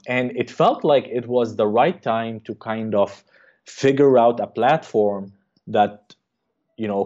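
A low-voiced man in his thirties, originally from Egypt, speaks as a cardiologist guest in a casual interview. His tone is mellow and he talks haltingly, with a lot of fillers. The recording sounds dull and lo-fi. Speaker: guest, cardiologist and startup co-founder.

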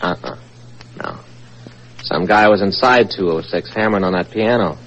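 An actor playing a weary, nosy newspaper reporter with a sardonic, slangy manner in an old-time radio mystery. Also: male voice, low voice, normal speed, a bit bright. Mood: weary grumbling. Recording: muffled vintage radio recording.

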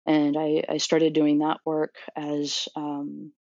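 Halting, reflective woman with flat voice. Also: low voice, no room sound, highs cut off. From Florida, USA. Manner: halting, many fillers and restarts, thoughtful. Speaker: doctoral student, interview guest. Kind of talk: reflective interview answer.

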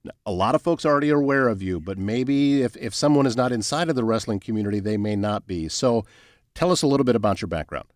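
A man's voice: mid-range voice, animated, fast talker, no echo, studio quality. A man hosting a podcast, interviewing guests in a conversational style. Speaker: podcast host.

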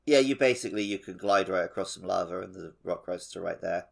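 A man's voice: sits low, quick pace, gravelly. A man co-hosting a podcast, chatting casually and jokily about video games. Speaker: podcast co-host.

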